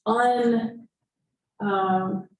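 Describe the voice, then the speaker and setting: A woman giving earnest, reflective remarks on a panel, pausing often in mid-phrase. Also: mid-range voice, steady tone. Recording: echoey room, distant mic, background noise.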